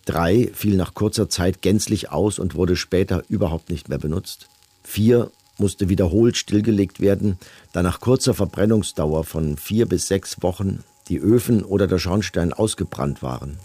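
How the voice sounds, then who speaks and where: A male official reading out orders and reports. His voice is very deep, dark and husky, and his delivery is formal, detached and bureaucratic, in the manner of a documentary radio drama. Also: moderate pace, no room sound.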